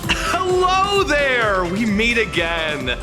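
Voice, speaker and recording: Eager, high-pitched polite greeter. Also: male voice, wildly swooping pitch, extremely slow, music in the background, full character voice. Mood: cheerful welcome. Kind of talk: video game voice acting.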